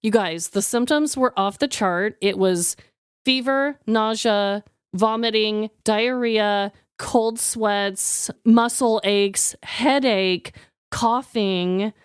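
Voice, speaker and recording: A woman's voice: medium pitch, slow pace, clean tone, dry recording, clean studio sound. A woman in her thirties chatting casually as a podcast co-host, exclamatory and freely swearing. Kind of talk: casual chat.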